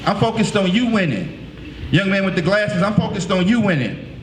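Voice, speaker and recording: A man in his late thirties from the Bay Area talks like a preacher, asking a young crowd questions and answering them himself. His voice sits a little high for a man. It rings in an echoey room with a faint hum underneath. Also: expressive, moderate pace. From San Francisco Bay Area. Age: late 30s. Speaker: motivational speaker. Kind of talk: motivational talk to middle schoolers.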